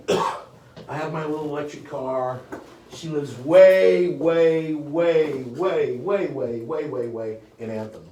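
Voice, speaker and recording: A man's voice: a little high, slow, warm tone, distant mic. Chatty man coaching a room. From United States. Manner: chatty, joking with the room, keeps asking "okay?". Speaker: real estate sales trainer. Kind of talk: live seminar coaching. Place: meeting room with a small audience. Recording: echoey room, recorded from afar, faint hiss.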